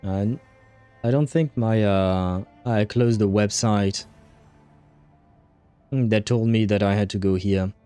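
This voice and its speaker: A man's voice: fairly low pitch, slow pace, long pauses, mellow, smooth, faint music behind. A man giving casual livestream commentary as he plays a game, muttering to himself and swearing now and then. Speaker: livestream host.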